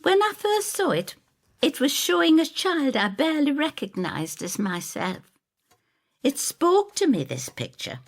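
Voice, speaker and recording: A woman's voice: sits high, big pitch swings, measured pace, voice pushed up, exaggerated voice. A woman voicing a bitter, self-critical older woman with a rueful, tart and emphatic manner in an audio drama. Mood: haunted, confessing. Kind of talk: audio drama storytelling dialogue.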